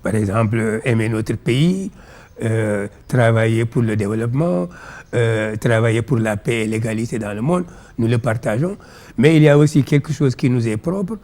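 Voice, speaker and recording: A man with a Senegalese accent and Pulaar as his first language, explaining ideas in measured, didactic phrases as an interview guest. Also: mid-range voice, darkish tone. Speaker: interview guest, philosophy author.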